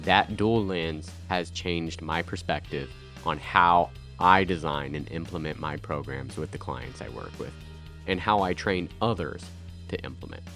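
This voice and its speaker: A man in his thirties hosting a podcast, speaking with a raspy, nasal voice from a head cold, earnest with bursts of playful energy. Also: sits low, measured pace, a bit bright, soft background music. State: congested with a cold, stuffy and nasal. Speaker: podcast host. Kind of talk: scripted podcast monologue.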